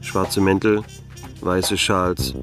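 Low, dry hard-boiled detective. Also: male voice, flat delivery, drawn-out words. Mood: brooding narration. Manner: dry, sardonic. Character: wry, hard-boiled, wisecracking male private detective. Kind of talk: noir radio play narration and dialogue. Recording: music under the voice. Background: music.

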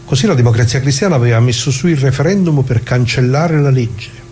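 Casting: a man as a wry, indignant grandpa storyteller, mid-pitched and mellow, colloquial radio theatre, humming noisy recording. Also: a little fast, lightly acted. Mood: wry reminiscing. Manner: folksy, rambling, full of asides and rhetorical questions. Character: talkative working-class grandfather reminiscing, indignant, wry, old leftist.

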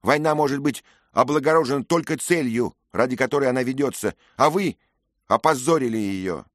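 A proud young noblewoman, scornful and accusing, her voice pitched high and loud with sharp, lifting anger, as a male reader puts her on. The recording is dry and close. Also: fairly quick, dry recording, lightly acted. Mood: scornful, furious. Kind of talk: audiobook character reading.

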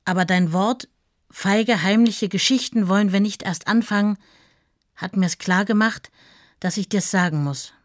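A woman's voice: medium pitch, a little slow, dry recording, studio quality, natural voice. An actress playing a devoted, earnest woman reading out her love letters intimately in a radio play. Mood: anxious, confessing.

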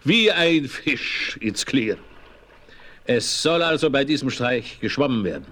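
A man's voice: medium pitch, lively intonation, unhurried, a bit bright. An actor playing a cheerful, wry old Western frontiersman with a colorful, folksy manner in a 1970s radio play. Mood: amused. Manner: chuckling, colorful, folksy exclamations.

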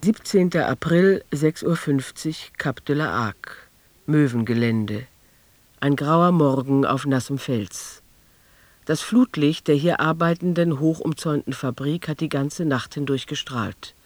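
A man narrating a literary radio drama in a deliberate, observational manner. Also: a little high, no echo.

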